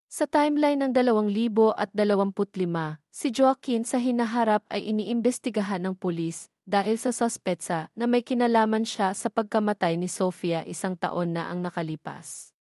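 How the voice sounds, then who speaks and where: A woman's voice: mid-range voice, moderate pace, dark tone, no room sound, clean studio sound. A woman with a Filipino accent reading scripted narration for an audio drama.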